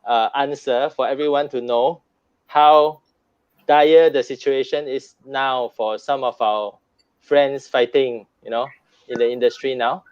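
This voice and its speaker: A man's voice: medium pitch, steady tone, unhurried, darkish tone, clear, resonant tone, no echo. A man hosting a panel discussion, moderating with frequent restarts and repeated words. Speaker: host. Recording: dull lo-fi sound.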